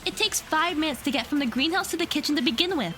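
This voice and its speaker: A woman's voice: high voice, lively intonation, fast. A woman playing an earnest, determined young woman acting as defender in an anime-style audio drama. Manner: earnest, insistent.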